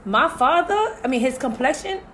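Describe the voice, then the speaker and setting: A woman's voice: high-pitched, very animated, normal speed, fairly bright tone, a little hiss or hum. A Puerto Rican-American woman co-hosting a casual discussion, talkative, full of "you know" and "so it's like". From Puerto Rican family, US-raised. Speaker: podcast co-host.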